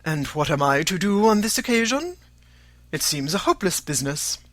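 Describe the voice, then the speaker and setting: A narrator voicing an unruffled, sardonic older father in an audiobook, formal, measured and deadpan. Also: medium pitch, no echo. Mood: calm, sardonic.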